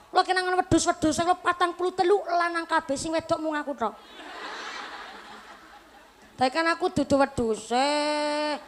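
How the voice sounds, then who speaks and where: A woman in her late twenties with a Javanese accent, a preacher giving a humorous religious talk to a live audience, chatty and joking as she turns to the listeners again and again. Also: sits high. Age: late 20s. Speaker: Islamic preacher.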